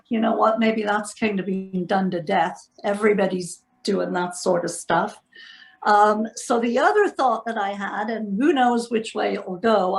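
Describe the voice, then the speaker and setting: Slow-talking northern English woman, roomy sound. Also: mid-range voice. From northeast of England. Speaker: textile doll artist being interviewed. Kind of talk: reflective casual interview talk.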